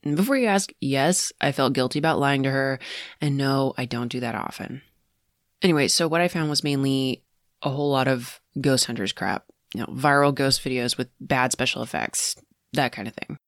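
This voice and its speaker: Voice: medium pitch, moderate pace, no echo, studio quality, natural voice. An actor playing a determined amateur ghost investigator in an audio drama, confiding in a conversational, wry, self-interrupting way. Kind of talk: naturalistic audio drama monologue.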